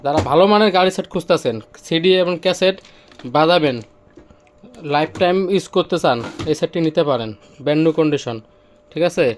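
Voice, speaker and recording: A man's voice: a little high, a little fast, slight background noise. A man presenting goods for sale in an informal demonstration video, with repetitive patter that keeps urging viewers to look. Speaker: seller presenting used car stereo gear.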